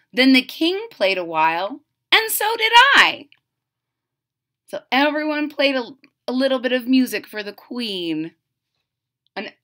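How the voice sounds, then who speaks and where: Expressive, high-voiced woman reading to children. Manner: expressive, exaggerated delivery. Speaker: storyteller reading a picture book. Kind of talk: animated children's read-aloud with casual asides. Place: small room at home, indoors.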